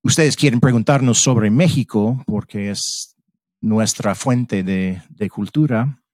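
A middle-aged American man with a fairly low voice and an American English accent tells his story slowly. He pauses often to find his words in his second language, and the sound is close and dry with no room around it. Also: darkish tone, dry recording. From Mississippi, USA. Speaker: podcast guest. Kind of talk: casual interview chat.

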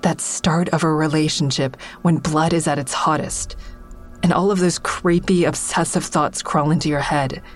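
A sardonic woman comedian in an audio drama, with a low-pitched voice. She is guarded and defensive, narrating her private thoughts in a wry, confessional way. Faint music runs underneath. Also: steady tone, normal speed. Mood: wistful, unsure. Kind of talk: full-cast audio drama acting with first-person narration.

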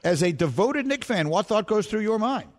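A middle-aged man hosting a sports talk show, telling stories with animated self-mocking humor. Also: high-pitched, moderate pace. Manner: animated storytelling, laughs at himself.

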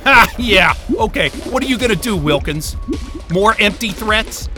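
A man's voice: high voice, expressive, unhurried, over music. An actor playing a hot-tempered, sarcastic male scientist in an audio drama, agitated, profane and combative. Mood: mocking, defiant. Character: hot-tempered, sarcastic male astrophysicist trapped in a remote station.

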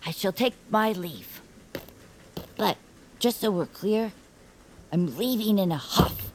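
Brash, animated adventurous girl. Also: mid-range voice, slow, a little hiss or hum. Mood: wounded, huffy. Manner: breezy, mock-formal. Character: brash, carefree, dramatic adventure-loving girl. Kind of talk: audio drama character acting.

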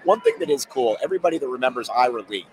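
A man hosting a casual sports talk show, his voice worn hoarse from days of yelling. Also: mid-range voice, lively intonation, racing, slight background noise, poor audio. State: losing his voice.

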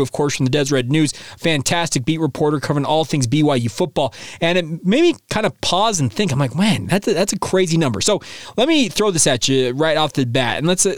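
A slightly hoarse man hosts a casual sports podcast, his voice sitting a bit high for a man. He talks excitedly, full of filler words and quick restarts, into a close mic with a dry, dead sound. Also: dry recording. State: slightly hoarse, coming down with a sore throat. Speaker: podcast host.

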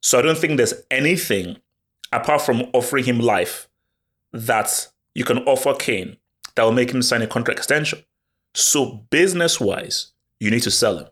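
A man co-hosting a casual football podcast, talking in an animated way and repeating words when he gets excited. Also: mid-range voice, normal speed. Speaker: podcast co-host.

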